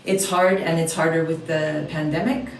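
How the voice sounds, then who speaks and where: Friendly woman guest from Hawaii; somewhat low voice, recorded at a distance in an echoey room. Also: fairly even intonation, normal speed, a little hiss or hum. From Oahu, Hawaii. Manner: friendly, easygoing, with frequent fillers. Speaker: dance instructor, guest. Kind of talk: casual interview answers.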